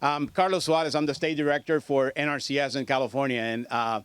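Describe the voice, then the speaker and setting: A man in his fifties from Puerto Rico with a Puerto Rican accent, a state agency director giving a halting public speech full of fillers and restarts at a podium microphone. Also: medium pitch, fairly even intonation, clean tone, dry recording. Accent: Puerto Rican Spanish. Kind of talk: public speech at a news conference.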